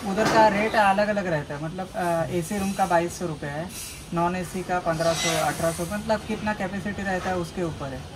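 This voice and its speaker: Hesitant man over loud noise. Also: sits high, fairly even intonation. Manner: halting, many fillers while listing room prices. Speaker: cottage owner. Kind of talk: answering interview questions. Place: outdoors under a shed roof. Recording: loud background noise outdoors.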